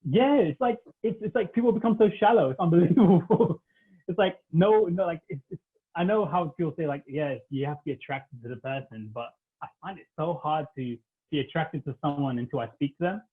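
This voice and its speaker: A man's voice: on the high side, brisk, breathy edge. Man in his thirties on a tinny line. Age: 30s. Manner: rambling, heavy use of "like". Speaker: podcast guest. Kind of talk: casual chat.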